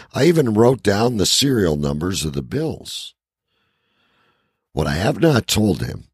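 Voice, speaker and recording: A man's voice: low voice, vocal fry, breathy edge, no echo, studio quality. A man co-hosting a podcast in casual banter and letter reading, with a blunt, profane way of talking. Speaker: podcast co-host.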